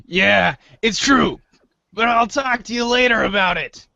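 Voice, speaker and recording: A woman's voice: on the high side, unhurried, gravelly, sharp tone, no echo, muffled recording. A woman acting in a casual comic table read, hesitant and a bit exasperated, breaking off for asides. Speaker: actor in a reader's theater show.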